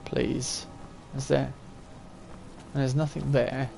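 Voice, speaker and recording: A man in his forties with a gravelly, fry-touched voice at an ordinary pitch, chatting casually while he streams a game and thinks aloud through a puzzle. Soft game music sits faintly underneath him. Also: level tone, drawn-out words. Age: 40s. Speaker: gaming live-streamer. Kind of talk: casual live-stream gameplay commentary.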